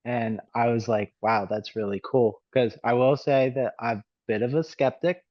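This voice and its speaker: A man's voice: mid-range voice, steady tone, moderate pace, lo-fi sound. A man speaking as a client in a casual video call, plain and a little hesitant.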